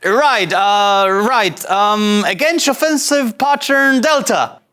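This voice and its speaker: An actor playing an insecure, excitable fake starship captain, earnest and grandiose yet easily flustered, at a sci-fi table read. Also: mid-range voice, slow. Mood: panicked.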